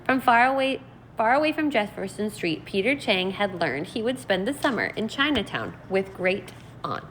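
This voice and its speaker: A woman teacher reading aloud and explaining in an expressive, deliberate, instructional way. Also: medium pitch, a little hiss or hum, poor audio. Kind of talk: read-aloud lesson, narrating and explaining vocabulary.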